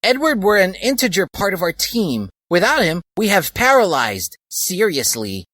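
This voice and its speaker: A man's voice: sits high, sing-song, moderate pace, fairly bright tone, dry recording, clean studio sound, pitched above the performer's own voice, exaggerated voice. A man voicing a whiny, indignant young male hero in a mocking comedic story reading.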